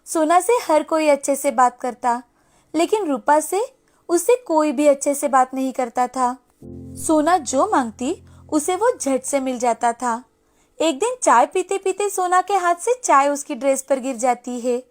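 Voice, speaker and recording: A woman's voice: sits high, expressive, unhurried. A woman narrating a scripted storybook tale as the storyteller, with music behind her. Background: background music.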